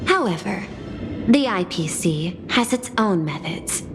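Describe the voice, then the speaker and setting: A woman's voice: fairly low pitch, lively intonation, unhurried, background noise. An actress playing a shrewd, controlling corporate woman in a video game, speaking precisely and with condescension. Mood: cool, calculating. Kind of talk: scripted video game voice acting. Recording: loud music under the voice. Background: game music.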